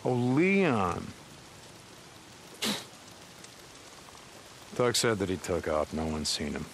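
An actor playing a gruff, weary biker drifter in a post-apocalyptic video game, with terse, muttered delivery. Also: male voice, sits low, sing-song, measured pace, a bit bright, a little hiss or hum, full character voice. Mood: wry, guarded. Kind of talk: naturalistic cinematic game acting.

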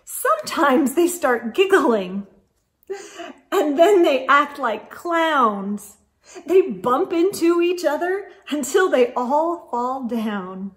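A middle-aged woman hosting a children's storytime, reading a picture book aloud in an expressive, sing-song way and talking directly to her young listeners. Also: sits high, takes it slowly. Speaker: host of children's storytime show. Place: indoors in a room lined with bookshelves.

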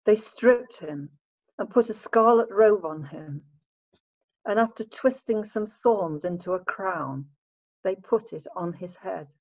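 A woman narrating a Bible story in a measured, formal read-aloud manner. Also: mid-range voice, lively intonation, darkish tone. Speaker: narrator of a church scripture reading. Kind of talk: dramatic Bible reading, scripted narration. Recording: dull lo-fi home recording.

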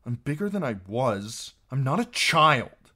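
A man's voice: sits high, wildly swooping pitch, a little slow, voice pushed up, full character voice. A man voicing a fierce, defiant young runaway girl who speaks in blunt, bristling commands for an audiobook. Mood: wary, defiant.

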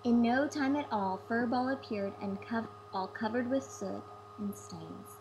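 A woman narrating a fairy tale as a read-aloud storyteller, in measured storybook phrasing. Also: on the high side, darkish tone, slight background noise, poor audio. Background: music.

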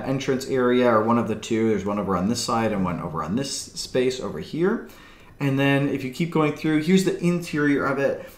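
A man's voice: mid-range voice, echoey room. A man in his thirties, a real estate broker, chatting enthusiastically through a property walkthrough at his desk webcam. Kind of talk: upbeat property walkthrough video. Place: small room indoors.

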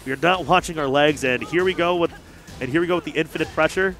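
A young man commentating a live fighting game tournament, joking and getting loud whenever the play heats up. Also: a little high, quick pace, soft background music. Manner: excitable, jokey, gets loud when hyped. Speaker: esports commentator. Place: large hangar hall at a gaming event.

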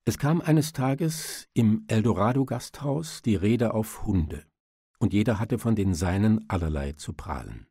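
Husky, mellow male audiobook narrator. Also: on the low side, animated, measured pace, dry recording, studio quality. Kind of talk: scripted literary narration.

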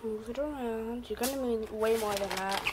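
Teen girl, bright voice, chatty home cooking demo with plenty of fillers, noisy phone recording. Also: a little high, moderate pace. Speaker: guest cook. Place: home kitchen. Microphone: handheld phone camera.

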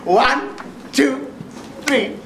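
A man's voice: very high voice, drawn-out words, background noise, exaggerated voice. A man playing a jolly pantomime dame, coaxing children along in an exaggerated sing-song way. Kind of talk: British pantomime audience participation. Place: theatre stage before an audience. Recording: echoey theatre stage, music behind.

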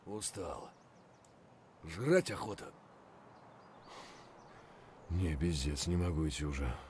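An actor playing a gruff, foul-mouthed Russian soldier with a husky voice, speaking in terse phrases for a military shooter game. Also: male voice, deep voice, darkish tone, slight background noise.

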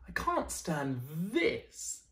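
Man putting on an exasperated, drawn-out weary daddy bear. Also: fairly high pitch, wildly swooping pitch, fairly bright tone, roomy sound, pitched above the performer's own voice, exaggerated voice. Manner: drawn-out, exclaiming complaints. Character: weary, exasperated father bear who cannot sleep.

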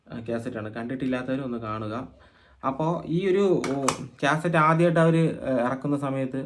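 A man from Kerala hosting an informal explainer vlog, speaking haltingly and often repeating phrases as he thinks aloud. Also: mid-range voice. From Kerala, India. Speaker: video host and cassette collector.

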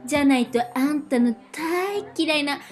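A woman's voice: sits high, expressive, moderate pace, soft background music. A woman voicing an eccentric, lewd senior schoolgirl with pompous, boyish, commanding phrasing in a hobby romance voice drama. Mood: bossy.

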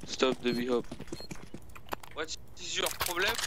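Angry man yelling in game chat. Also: on the high side, takes it slowly, very noisy. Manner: angry, repetitive, broken English. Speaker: online game player.

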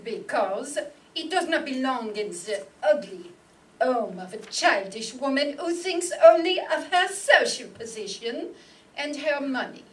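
Indignant, disdainful mademoiselle played by an older woman, formal and slow-spoken, higher register. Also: lively intonation. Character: haughty young French woman, indignant suspect. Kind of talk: old-time radio acting before an audience. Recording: live stage pickup, distant, background noise.

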